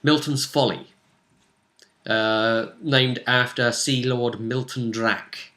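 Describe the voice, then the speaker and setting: A man's voice: mid-range voice, steady tone, slow pace, sharp tone. A man casually recapping a tabletop game as host and game master, acting out quotes as he retells it.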